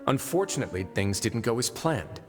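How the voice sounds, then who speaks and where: A man playing a composed, wry fortress warden in a video game, formal and measured. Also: medium pitch, very animated, in a large hall, music in the background, close to an everyday voice.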